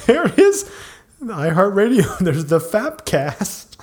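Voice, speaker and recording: A man co-hosting a casual podcast, with a gravelly voice, playful and laughing as he reads things aloud. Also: high voice, very animated, measured pace. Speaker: podcast co-host. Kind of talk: casual chat.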